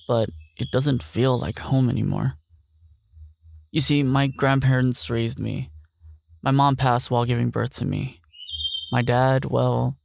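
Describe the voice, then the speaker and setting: A gravelly, mid-pitched young man's voice acted by a man as a lonely ranch heir in an audio drama. He is earnest, weary and reflective, over faint background noise. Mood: wistful. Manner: plain, reflective monologue.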